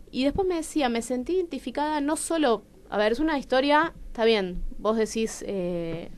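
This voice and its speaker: A woman with a Rioplatense accent from Argentina speaks in a fairly high, very clear and smooth voice. She tells stories conversationally, in the expressive way of a radio guest who acts out what people said. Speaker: playwright and radio show guest. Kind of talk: conversational personal storytelling in a radio interview. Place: radio studio.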